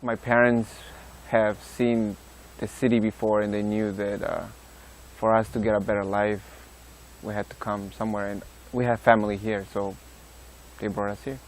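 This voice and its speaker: A young man from Mexico, speaking in a hesitant, halting way in a documentary interview. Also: fairly low pitch, flat delivery, slight background noise, rough recording.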